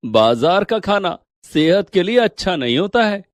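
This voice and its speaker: A man's voice: sits high, sing-song, unhurried, no room sound, studio quality. A man voicing a deferential servant, polite and eager to please, in a story dramatization. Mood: concerned. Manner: polite, obliging.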